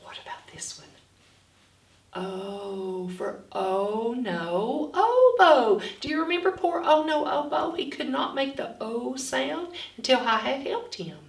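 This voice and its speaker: A woman reading and teaching phonics to young children in an expressive, instructional way, sounding out words. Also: high voice, slow, gravelly. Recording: roomy echo, distant from mic.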